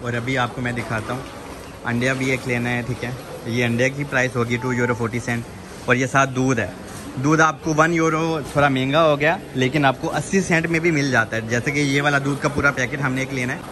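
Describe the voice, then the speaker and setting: A man's voice: medium pitch, steady tone, brisk. Man, thirties, casual vlog, phone camera, busy supermarket noise behind. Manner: chatty, addresses viewers as "janab", says "theek hai" often. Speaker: vlogger. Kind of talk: casual walk-through vlog. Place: large supermarket in a shopping mall, indoors. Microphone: handheld phone camera. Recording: noisy store background.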